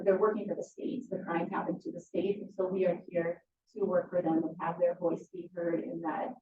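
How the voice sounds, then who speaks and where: A woman from a victim services agency giving a steady, explanatory presentation to a county board, with frequent ums. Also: fairly low pitch, noisy background. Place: county board meeting room. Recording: roomy, distant, muffled meeting recording.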